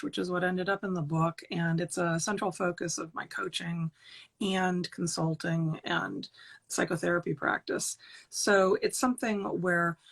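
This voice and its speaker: A woman's voice: fairly low pitch, vocal fry, fairly bright tone. A woman psychotherapist explaining her work on an online panel in an assured, explanatory way, listing her points steadily. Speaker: psychotherapist and author.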